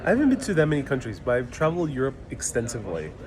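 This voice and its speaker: A relaxed man hosts a live travel Q&A from a city street, answering viewers' questions with a joking, unhurried manner. His voice sits at a middle pitch and is picked up by a phone. A steady crowd murmur surrounds him. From New York City. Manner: easygoing, joking, thinks aloud with fillers. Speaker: travel livestream host. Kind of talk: casual live Q&A, reading viewer comments aloud. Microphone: phone livestream mic. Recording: crowd noise around a phone stream. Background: crowd chatter.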